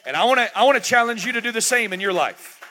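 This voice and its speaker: A man's voice: very high voice, expressive, fast talker, bright, forceful tone, a little hiss or hum. A middle-aged man preaching a sermon in a church, emphatic and repetitive, with rhetorical questions. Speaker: pastor.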